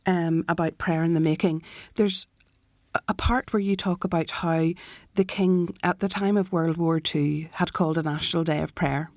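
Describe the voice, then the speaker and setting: A woman radio co-host who asks interview questions in a measured, soft-spoken way. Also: sits low, steady tone, dry recording, clean studio sound. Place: radio studio.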